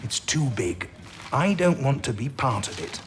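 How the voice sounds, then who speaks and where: An absent-minded, kindly wartime codebreaking chief, played by a man in a period audio drama. He mutters with gruff, donnish exasperation, and his pitch moves about a lot. The recording has clear background noise with clicks. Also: big pitch swings, unhurried. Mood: troubled. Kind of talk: period radio drama acting.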